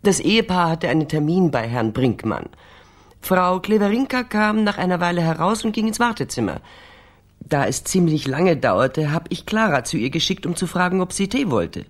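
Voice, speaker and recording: A woman's voice: on the low side, very animated, normal speed. An actress playing a guarded, hesitant female office secretary under questioning in a radio crime drama. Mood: concerned, confiding.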